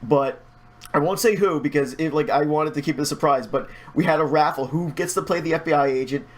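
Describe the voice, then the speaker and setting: A man's voice: fairly high pitch, fairly quick. A man speaking as a guest in a casual podcast chat, rambling, opinionated and freely swearing. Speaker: podcast guest, video creator.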